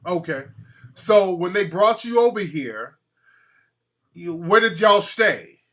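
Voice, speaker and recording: A man's voice: high-pitched, animated, slow pace, a bit bright. A man hosting a call-in talk show, questioning a caller bluntly and impatiently, often repeating himself. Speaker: radio talk show host.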